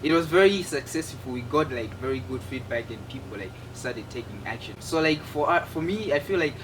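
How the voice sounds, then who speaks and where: A man's voice: medium pitch, expressive, a little fast. Earnest young man, noisy room. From Malawi. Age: 20s. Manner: earnest, loose, with many "like" and "uh" fillers. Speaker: guest artist. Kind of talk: casual interview answers. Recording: noisy room with air conditioning hum.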